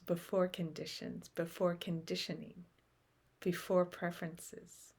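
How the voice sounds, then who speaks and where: A woman leading a guided meditation in a slow, gentle way, with long pauses between short phrases. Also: fairly low pitch, level tone, breathy edge.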